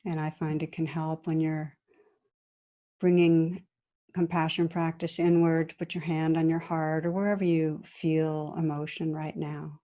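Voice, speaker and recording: A woman meditation teacher guiding a practice in a gentle, soothing, unhurried manner with long pauses between phrases. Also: deep voice, flat delivery. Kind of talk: guided meditation.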